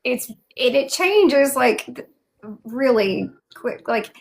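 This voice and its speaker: A woman's voice: high-pitched, lively intonation, takes it slowly, vocal fry, poor audio. A woman with a Southern American accent, interviewing in a casual chat with an effusive, colloquial, emphatic manner. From southern United States.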